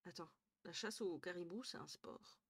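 A tired young woman from northern France chatting casually with her stream viewers, thinking aloud, swearing now and then and dropping to quiet murmurs, recorded on a headset at home. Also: on the low side, moderate pace, husky. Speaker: Twitch streamer. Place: small room at home, indoors.

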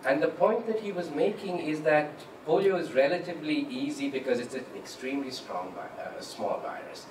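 A man speaking as an author on a panel, animated and anecdotal, into a handheld mic in a large hall with an audience. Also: fairly high pitch, moderate pace. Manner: animated, quotes people vividly. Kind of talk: panel discussion, storytelling. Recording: roomy hall, distant mic, room noise.

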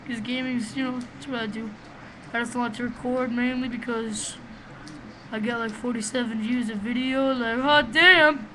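A man with a small, high voice giving rambling, profane, excitable commentary while playing a game, recorded on a webcam microphone. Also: normal speed. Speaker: gamer doing a let's play. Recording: noisy home recording.

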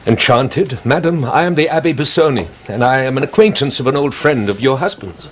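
An actor playing a grave, courteous priest in a radio drama, measured, polite and quietly calculating. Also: male voice, mid-range voice, expressive, a little hiss or hum, natural voice. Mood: courteous probing.

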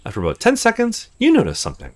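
A man's voice: very high-pitched, wildly swooping pitch, rapid-fire. A man reading a visual novel story aloud as the host of a let's play. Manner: acts out dialogue casually.

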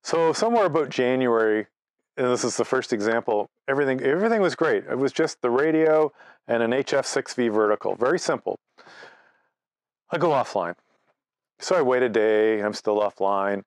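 A man's voice: medium pitch, animated, moderate pace, no room sound. A man, giving an informal, conversational instructional talk with step-by-step examples. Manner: conversational, explains through step-by-step examples and anecdotes. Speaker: presenter, amateur radio operator. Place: outdoors in front of leafy trees.

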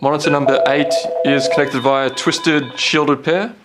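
A man's voice: medium pitch, fairly even intonation, measured pace. A man giving an instructional product demonstration as a company presenter. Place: small indoor meeting room. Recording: clearly noisy room recording.

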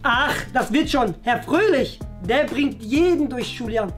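A man's voice: extremely high pitch, expressive, a bit bright, soft background music, full character voice. A man playing a boastful, carefree and rather dim sporty schoolboy in a comedy sketch, casual and cocky. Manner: casual, cocky, drawn-out bragging. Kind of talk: scripted comedy sketch acting.

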